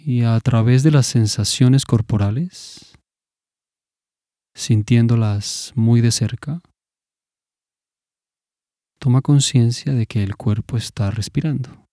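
A man leading a guided mindfulness meditation in a calm, measured, instructive manner. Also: medium pitch, no room sound, clean studio sound.

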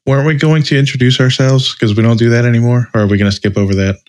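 Man, podcast co-host, medium pitch, informal chat, mildly complaining. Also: fairly even intonation, rapid-fire, darkish tone. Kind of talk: casual chat.

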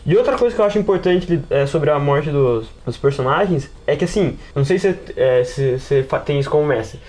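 A man's voice: on the high side, lively intonation, a little fast, a little mellow. A man with a Brazilian accent in a casual podcast discussion, explaining things in a rambling, slangy way. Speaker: podcast panelist and game master.

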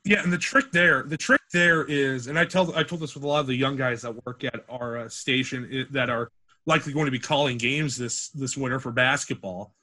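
A young man with a deep, projecting voice, a campus radio sports director talking casually as a podcast guest, with many restarts and fillers. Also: steady tone, a little fast, bright, forceful tone. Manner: rambling with frequent restarts and fillers.

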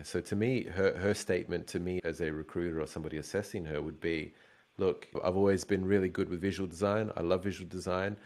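Very deep-voiced enthusiastic man. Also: creaky, darkish tone. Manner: enthusiastic, emphatic. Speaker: guest, design recruiter. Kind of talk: casual interview chat.

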